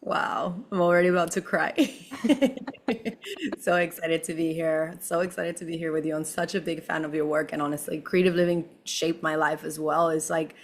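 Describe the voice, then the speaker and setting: Young woman, lowish voice, effusive, laughs a lot, podcast guest. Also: flat delivery, normal speed, slight background noise. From Venezuela. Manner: effusive, laughs often, many fillers like "like". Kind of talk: casual conversation.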